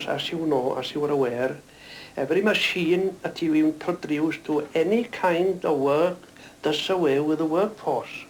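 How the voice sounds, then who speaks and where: A man's voice: on the high side, measured pace, a little hiss or hum, rough recording. Earnest Welsh ex-quarryman. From Wales. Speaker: former quarryman. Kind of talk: interview answers.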